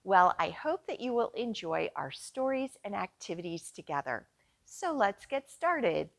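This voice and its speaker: A woman leading a storytime for young children, reading aloud in a deliberate, singsong, instructional way. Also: medium pitch, sing-song, gravelly, fairly bright tone. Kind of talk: slow read-aloud storytime for young children, with sung refrains and action rhymes.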